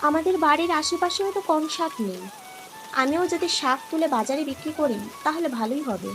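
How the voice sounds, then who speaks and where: Woman acting honest poor village mother, high pitch, plaintive, earnest, cartoon dialogue, background music. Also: brisk. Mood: caring, determined. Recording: music behind the voice.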